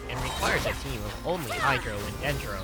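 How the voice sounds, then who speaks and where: Rambling male game streamer over loud music. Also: medium pitch, expressive, fairly quick. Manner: rambling, offhand, repeats words. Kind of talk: casual live gameplay commentary.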